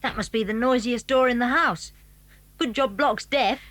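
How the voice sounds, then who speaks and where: A woman's voice: fairly high pitch, normal speed, fairly bright tone, a little hiss or hum, lightly acted. A British woman playing an eager, cautious schoolboy in a children's audio drama. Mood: conspiratorial, eager. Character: eager, cautious schoolboy who leads his friends.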